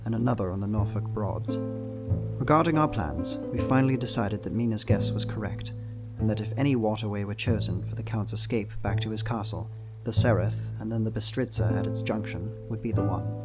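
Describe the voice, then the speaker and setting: An anxious young Victorian husband played by a man records his journal in a radio play. His voice is low and brooding, with formal old-fashioned phrasing, and guitar music plays clearly behind him. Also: brisk, close to an everyday voice. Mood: grave, determined. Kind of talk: dramatized diary narration in a radio play. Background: plucked guitar music.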